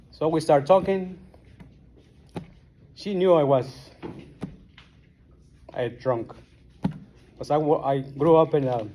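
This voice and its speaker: Guatemalan-accented man, mellow halting talk. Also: fairly high pitch, a little hiss or hum. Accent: Guatemalan Spanish. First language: Spanish. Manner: halting, plain-spoken, restarts often. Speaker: AA meeting speaker. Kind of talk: personal recovery story.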